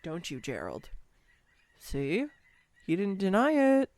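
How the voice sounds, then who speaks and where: A woman playing a clumsy, excitable young woman on a first date in a roleplay audio, with a bubbly, hesitant, playfully teasing manner. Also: mid-range voice, slow, no echo. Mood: delighted, playful. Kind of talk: scripted girlfriend roleplay audio, intimate one-sided dialogue.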